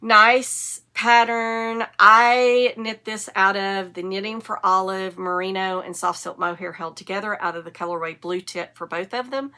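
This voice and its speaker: A woman's voice: mid-range voice, sharp tone, clear, resonant tone. A woman, sniffly from a cold, hosting a casual knitting podcast in a chatty, enthusiastic, rambling way. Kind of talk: casual show-and-tell chat.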